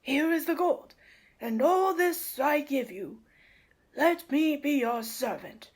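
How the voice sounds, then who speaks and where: Woman playing an anxious old servant man, high-voiced. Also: animated. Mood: earnest, devoted. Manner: earnest, emotive, slow declamation. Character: devoted old male servant, anxious and loyal. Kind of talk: Shakespearean radio play acting.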